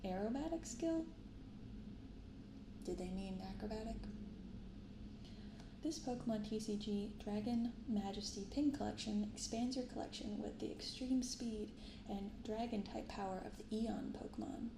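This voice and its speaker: A soft-spoken woman hosting an unhurried ASMR-style unboxing, recorded in an untreated apartment room. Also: medium pitch. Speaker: host of a card unboxing channel. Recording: roomy untreated apartment, clear background noise.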